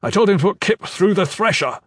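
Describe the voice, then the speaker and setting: Man doing a gruff no-nonsense commander, curt and pushy, dry sound. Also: sits high, lively intonation, fairly quick, fairly bright tone, no room sound, pitched above the performer's own voice, heavily acted. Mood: impatient. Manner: curt, demanding. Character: gruff blunt military commander, impatient man.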